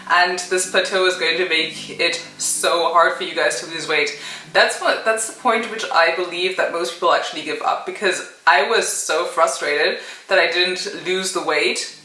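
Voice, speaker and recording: A woman's voice: lowish voice, pushed and bright, roomy sound, poor audio. A young woman from Zimbabwe telling her story in a chatty vlog, full of fillers. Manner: chatty, many fillers and "kind of". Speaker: vlogger.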